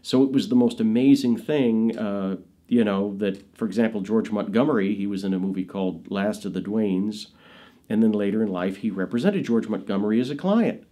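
A man's voice: on the low side, moderate pace, dark tone. An American man in his fifties telling anecdotes in an interview, listing things with emphasis. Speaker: interviewee, actor and filmmaker. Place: indoors, in front of dark curtains.

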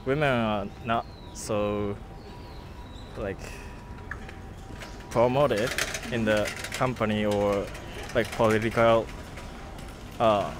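A young man in his twenties speaks very slowly into a handheld mic outside. He hesitates often and searches for his words. Clear street noise is heard behind him. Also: lowish voice, fairly even intonation. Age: 20s. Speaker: student interviewee. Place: outdoors by a campus gate.

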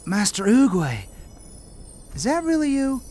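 A man voicing a boyish, excitable, always hungry panda kung fu hero in a video game, goofy and animated. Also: extremely high pitch, unhurried, soft background music, full character voice. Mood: amazed.

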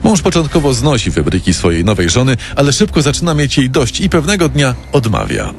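Dramatic male true-crime narrator, lively intonation. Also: medium pitch, a little fast, vocal fry, faint music behind. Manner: dramatic storytelling in present tense.

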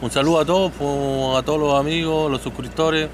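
A man's voice: a little high, steady tone, a little fast, a little hiss or hum. A man with a Chilean accent chatting casually and joking with friends at night beside a pickup truck, greeting his subscribers. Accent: Chilean Spanish. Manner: joking, laughing, addressing subscribers. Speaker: hunting vlogger. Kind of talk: casual chat.

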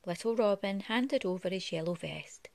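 A woman reading a children's story aloud in an expressive way, like a parent at storytime. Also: mid-range voice, moderate pace, vocal fry, no echo. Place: living room at home.

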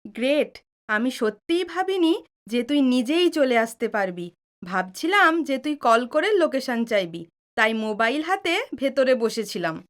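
A woman's voice: high-pitched, sing-song, a little slow. A woman playing an outspoken, teasing young daughter who argues impatiently, in an audio drama. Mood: excited. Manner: argumentative, impatient, cheeky. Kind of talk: Bengali audio drama acting.

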